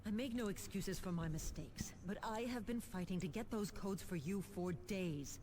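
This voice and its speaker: Lady as sci-fi official giving briefings, crisp formal dry, composed, medium pitch, game noise underneath. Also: normal speed, close to an everyday voice. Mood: wry, frustrated. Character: composed, dry, determined female government administrator. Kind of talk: scripted video game acting.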